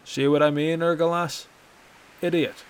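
A man voicing a gruff, jovial male Nord hunter who needles people, raised and lively against his narration. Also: on the high side, moderate pace, a little hiss or hum, voice pushed up. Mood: boisterous. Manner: boisterous, needling.

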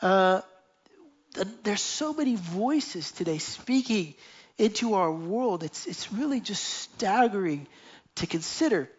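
A man's voice: high voice, animated, takes it slowly, a little hiss or hum. A man preaching a sermon to a gathered church, earnest and building his points with lists and repeated phrases. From United States. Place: church meeting, live streamed. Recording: dull lo-fi sound.

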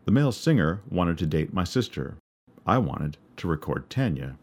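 Man, deep baritone, very low pitch, wry scripted storytelling. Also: big pitch swings, normal speed, darkish tone, husky. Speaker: podcast narrator and musician. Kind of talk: scripted personal narration.

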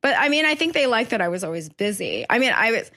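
A woman in her thirties, born in China and raised in New York, chatting as a painter guest on a podcast, animated, laughing often and restarting her sentences. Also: a little high, fast talker. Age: 30s. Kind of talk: casual interview chat. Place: painting studio indoors.

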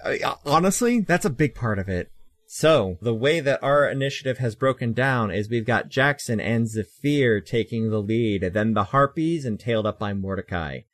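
A man's voice: mid-range voice, animated, normal speed, no echo. A man in his late twenties casually talking as game master and host of a tabletop game, with a wry, bantering manner.

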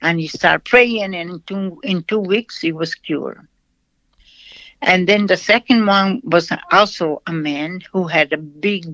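Dry, muffled recording, Brazilian-accented nun. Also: female voice, deep voice, fairly bright tone, dry recording. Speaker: nun, shrine representative. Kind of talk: interview answers, storytelling. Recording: dull lo-fi sound, dry.

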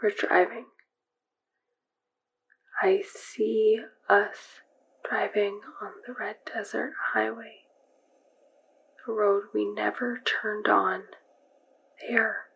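An actress playing a haunted young woman murmuring dreamlike visions in a breathy whisper, in an audio drama. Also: mid-range voice, flat delivery, full character voice, low-quality recording. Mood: trancelike. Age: young adult. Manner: hushed, slow, trancelike. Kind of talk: whispered inner monologue.

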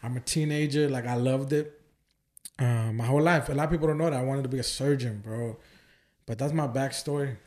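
A man's voice: mid-range voice, brisk, darkish tone. A man from Oakland, California, chatting as a podcast guest and telling personal stories in informal, slangy speech. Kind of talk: casual chat, personal storytelling.

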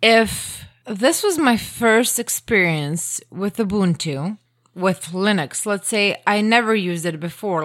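A woman's voice: mid-range voice, a bit bright, no room sound, studio quality. A woman whose first language is Croatian, chatting as a podcast guest in an earnest, self-deprecating way. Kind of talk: casual podcast conversation.

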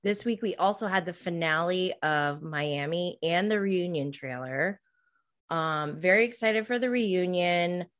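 Woman around 40 doing a casual solo recap, kinda low voice, really slow and rambly. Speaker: podcast host. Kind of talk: casual solo recap chat about reality TV.

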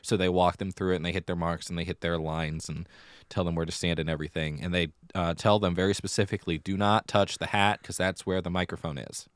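A man with a very low voice, recorded dry with hardly any room sound. He talks casually as a podcast co-host, leaning on his points with emphasis. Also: fairly even intonation, fast, dry recording. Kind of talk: casual chat about musical theatre.

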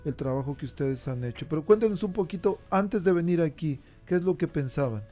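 Friendly male interviewer, higher mellow voice. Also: lively intonation, brisk, soft background music. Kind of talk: interview questions.

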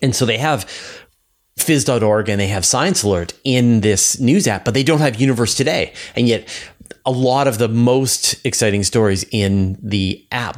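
Male podcast host, low voice, casual science-news talk, thinking aloud with eager emphasis.

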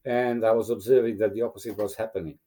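A man's voice: on the low side, fairly even intonation, fast, warm tone. A middle-aged man from Romania, a native Hungarian speaker, speaking as a guest in a casual interview, thoughtful and hesitant with fillers.

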